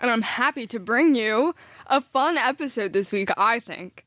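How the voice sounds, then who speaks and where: A woman co-hosting a podcast, chatting and telling a story, lively and quick to laugh. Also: a little high, no echo. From Philadelphia area. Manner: chatty, laughs often, enthusiastic. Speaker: podcast co-host. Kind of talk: casual chat and storytelling.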